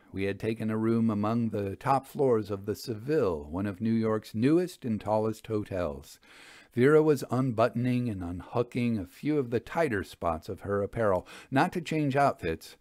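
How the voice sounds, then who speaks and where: A man's voice: fairly low pitch, expressive, moderate pace, a little mellow, dry recording. A man narrating scripted fiction as a dramatic storyteller.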